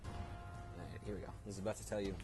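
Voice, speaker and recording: A man's voice: deep voice, fairly even intonation, rapid-fire, music in the background. A young man giving casual gameplay commentary as a gamer host.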